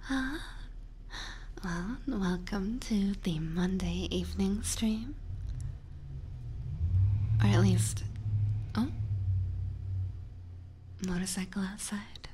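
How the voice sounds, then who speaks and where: A woman speaks in a soft, breathy whisper, very slowly and gently, as an ASMR streamer chatting with her viewers. She is close to a binaural microphone, with faint noise behind her. Her pitch sits in a medium range for a woman. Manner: gentle, chatty, giggly, addressing chat. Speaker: ASMR livestreamer. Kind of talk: whispered ASMR livestream chat.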